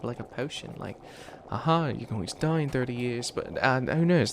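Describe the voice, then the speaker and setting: A man's voice: mid-range voice, a little hiss or hum, natural voice. A man voicing an ancient bunny witch, a failed villain who is flustered and kindly, rambling and stammering through a comedic ASMR roleplay. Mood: wistful, exasperated. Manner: rambling, stammering, apologetic, trails off. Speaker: mentor to a new apprentice.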